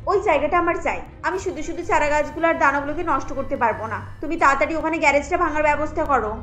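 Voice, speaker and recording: Woman playing cartoon daughter-in-law, young, headstrong, high-pitched, insistent, aggrieved, music behind. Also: quick pace, a bit bright. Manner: insistent, complaining. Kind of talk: animated cartoon story acting.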